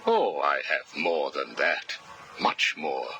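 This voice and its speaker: Casting: sinister game psychiatrist. Extremely deep, slow, precise, cold, with a hard forceful edge. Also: male voice, big pitch swings, a little hiss or hum, heavily acted, low-quality recording. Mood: clinical probing. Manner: measured, clinical, menacing, precise. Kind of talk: video game voice acting.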